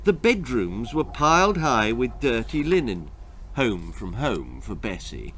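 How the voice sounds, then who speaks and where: A man's voice: medium pitch, sing-song, unhurried, fairly bright tone, slight background noise. A man narrating a children's story in a dramatic, scripted storytelling style. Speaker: author reading his own story.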